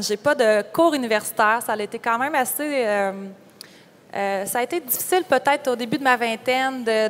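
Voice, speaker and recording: A young woman with a Quebec French accent speaks in a fairly high voice. She tells her own story in a candid, informal way as a panelist. The sound carries the long reverb of a hall. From Quebec, Canada. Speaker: panelist, farm entrepreneur. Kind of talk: conference panel personal account. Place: conference room panel.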